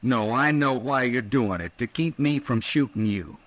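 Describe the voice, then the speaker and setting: An actor playing a distraught outlaw in a 1950s American radio western, with a halting, rustic drawl. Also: male voice, mid-range voice, dry recording, lo-fi sound, close to an everyday voice. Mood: shaken, disbelieving. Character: desperate, distraught Western outlaw gunman in love.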